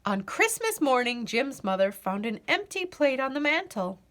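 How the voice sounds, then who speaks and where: Female picture-book narrator, expressive and deliberate, lively swooping pitch on the high side. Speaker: storyteller. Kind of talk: children's picture book read-aloud.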